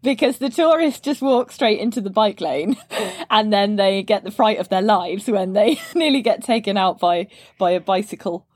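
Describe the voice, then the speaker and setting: A woman's voice: mid-range voice, animated, moderate pace, hardly any pauses, a little mellow, no echo, clean studio sound. A woman hosting a podcast as an English teacher. She reads a scripted intro and then chats casually and enthusiastically with her guest, laughing often. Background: guitar music under the intro.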